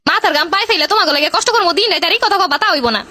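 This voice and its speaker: Woman voicing a shrill, rapid-fire Bangladeshi cartoon housewife. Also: pushed and bright. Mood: angry nagging. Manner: scolding, argumentative. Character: nagging, demanding, sharp-tongued young housewife. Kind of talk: comedy cartoon dialogue.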